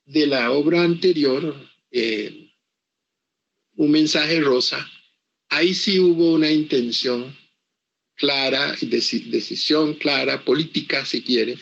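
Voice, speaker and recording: An older man from Costa Rica, English his first language, talks at a very slow, halting pace with frequent restarts. His voice is gravelly and husky. The sound is dull and lo-fi. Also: fairly high pitch, a bit bright. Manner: halting, full of pauses and restarts. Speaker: author being interviewed. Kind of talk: slow reflective interview answers.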